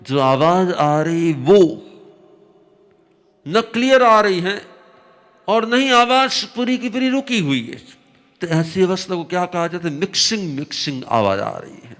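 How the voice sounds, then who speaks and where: A man teaching a religious discourse, his voice slightly hoarse, explaining slowly with repetition and emphatic rises. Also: high voice, sing-song, a bit bright. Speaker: Jain spiritual teacher.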